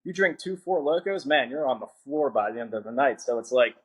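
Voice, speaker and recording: A man's voice: mid-range voice, expressive, fairly quick, husky. Laid-back joking man guest. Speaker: author guest. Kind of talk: casual podcast interview.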